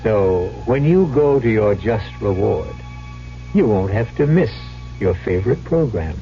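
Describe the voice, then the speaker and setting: Low, lively wry radio mystery host. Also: male voice, measured pace. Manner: wry, tongue-in-cheek. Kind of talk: scripted wry narration. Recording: dull old radio broadcast. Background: music.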